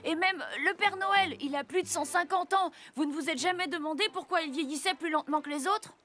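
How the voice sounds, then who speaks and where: A woman's voice: extremely high pitch, brisk, bright, forceful tone. A woman voicing an earnest, impatient young boy in a French children's cartoon. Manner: earnest, eager, insistent. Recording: music behind the voice.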